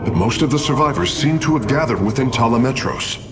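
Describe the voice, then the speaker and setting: An actor playing a calm, deferential alien engineer in a video game, speaking in a measured, formal way. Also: male voice, medium pitch, lightly acted. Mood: analytical. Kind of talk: dramatic video game voice acting. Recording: clear ambient noise behind.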